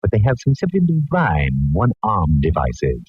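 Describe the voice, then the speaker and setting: Low husky affected gent, languid and arch, old radio, thin phone sound. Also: male voice, huge pitch swings, normal speed, darkish tone, dull audio, full character voice. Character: arch, genteel, cash-strapped male chum. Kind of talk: 1940s radio drama acting.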